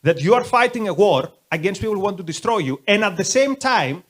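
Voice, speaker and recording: A man's voice: high-pitched, lively intonation, fairly quick. A man leading a conversational lecture, taking questions from the audience in a museum hall. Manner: conversational, uses many "like" asides.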